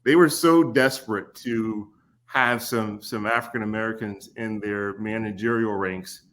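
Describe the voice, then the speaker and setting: A man's voice: lowish voice, fairly bright tone. Laid-back man telling a story. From Kentucky. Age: 40s. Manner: easygoing, laughs often, pauses with fillers. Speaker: podcast guest. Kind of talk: casual storytelling conversation.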